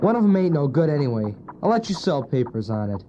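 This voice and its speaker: A woman playing a streetwise, gruffly kind newsboy in an audio drama, talking in brash slang. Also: mid-range voice, very animated, fast talker, natural voice. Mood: brash, then softening. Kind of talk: scripted audio drama acting. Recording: dull cassette, noisy street ambience with vehicles.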